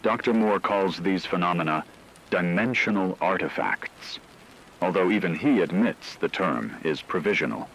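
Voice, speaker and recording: A very low-pitched male news anchor played by an actor delivers a scripted radio bulletin in an apocalypse drama. He is grave, formal and measured, with faint rain noise behind him. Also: lively intonation, a bit bright, rough recording. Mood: grave, ominous.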